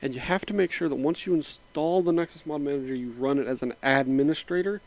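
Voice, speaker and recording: A man with a slightly raspy voice from a sinus infection, casually narrating a step-by-step walkthrough at his computer, rambling a little with fillers. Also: on the high side, fast, muffled recording. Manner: relaxed, rambling, with frequent fillers. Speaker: mod tutorial narrator. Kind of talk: casual screen-recording walkthrough.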